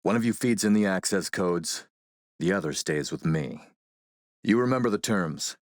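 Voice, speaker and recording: An actor playing a ruthless middle-aged warlord in a video game, speaking in a measured, courtly, menacing way. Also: male voice, sits low, expressive, no echo, studio quality. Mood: businesslike menace. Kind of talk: video game character voice acting.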